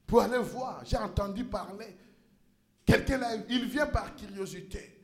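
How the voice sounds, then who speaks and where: High-pitched guy preaching in church, gets shouty, stumbles on words, lots of room echo. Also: measured pace. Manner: impassioned preaching, rises to shouts and repeats words. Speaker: pastor. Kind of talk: sermon.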